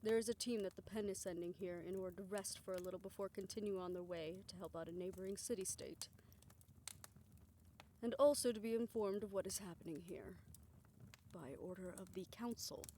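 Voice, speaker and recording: A courteous woman messenger, played by a woman in a fantasy audio roleplay. Her mid-range voice is formal, with measured phrasing. A light wash of ambient noise sits behind her. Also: medium pitch, mellow, natural voice. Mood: grave, reluctant. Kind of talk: scripted audio roleplay acting.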